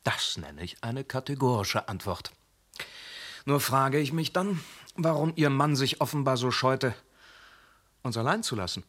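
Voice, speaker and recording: An actor playing a calm, dryly probing police inspector who questions witnesses politely, in a radio play. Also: male voice, medium pitch, a little slow.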